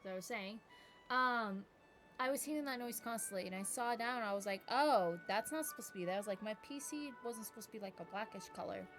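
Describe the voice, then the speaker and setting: A woman's voice: medium pitch, quick pace, a bit bright. Rambling woman streamer over game music. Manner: rambling, self-deprecating. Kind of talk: casual stream chat to viewers. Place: indoors at a home gaming setup. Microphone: streaming microphone.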